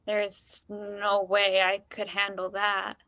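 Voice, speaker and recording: Inquisitive girl of nineteen for stage dialogue, played by an actress: sharp, bright voice, lo-fi muffled sound. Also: mid-range voice, completely flat delivery, slow, no room sound. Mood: dismayed. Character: nineteen-year-old young woman, curious listener prodding a friend. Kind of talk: dialogue in a one-act play.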